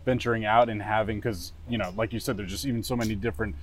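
A man's voice: lowish voice, fairly even intonation, fast talker, slight background noise. Guy hosting a chat, friendly, rambles his questions. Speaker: podcast host. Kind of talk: casual interview chat.

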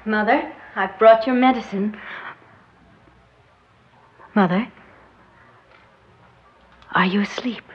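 An actress playing a devoted middle-aged daughter in an old radio drama, tender and soft-spoken. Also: female voice, medium pitch, slow, pauses often, breathy edge. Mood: tender, grieving. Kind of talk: 1960s radio drama acting. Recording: dull old radio recording with music.

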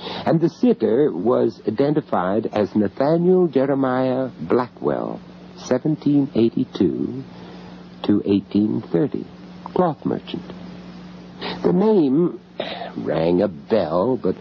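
An urbane gallery owner telling his own eerie tale in a radio horror drama. He is reflective and a little hesitant, with a widely moving, expressive voice. The recording is dull, with faint noise behind it. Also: fairly low pitch, takes it slowly, creaky, full character voice. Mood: measured, intrigued. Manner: hesitant, reflective, with chuckles in dialogue. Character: urbane, uneasy foreign art gallery owner in London, recounting horror. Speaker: narrator and lead character of a radio horror story. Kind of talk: first-person radio drama narration.

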